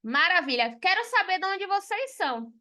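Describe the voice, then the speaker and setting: A woman's voice: very high voice, sing-song, normal speed, sharp tone. A woman from Salvador, an accountant teaching a live payroll class, talking frankly and chatting with her students. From Salvador, Bahia, Brazil. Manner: frank and chatty, with frequent tag questions.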